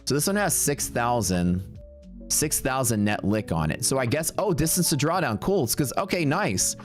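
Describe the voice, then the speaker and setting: A man's voice: mid-range voice, expressive, moderate pace, soft background music. A man with a Texas accent, streaming live day trading and chatting casually and brashly with his viewers. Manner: casual, brash, talks to chat.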